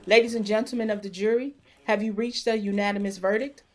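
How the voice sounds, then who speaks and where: A woman's voice: medium pitch, fairly even intonation, darkish tone, lightly acted. A woman playing a stern, formal judge. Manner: measured, formal, authoritative. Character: stern female judge presiding over court. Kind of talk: audio drama acting.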